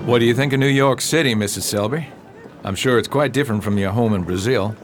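A man's voice: mid-range voice, quick pace, soft background music, natural voice. A man playing a courteous, observant private investigator in a radio drama, polite and inquisitive.

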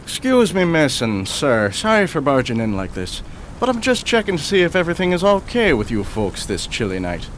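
A man's voice: fairly high pitch, huge pitch swings, fairly quick, a little hiss or hum. An actor playing a cheery, folksy 1920s New York policeman in a radio drama, chatty and courteous. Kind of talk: radio drama acting, 1920s period piece.

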